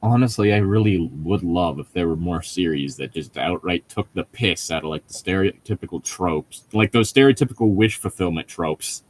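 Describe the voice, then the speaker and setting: A low-pitched man talks casually among friends as a co-host. He rambles through his points with a sardonic, profane edge. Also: moderate pace, few pauses. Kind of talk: casual group chat.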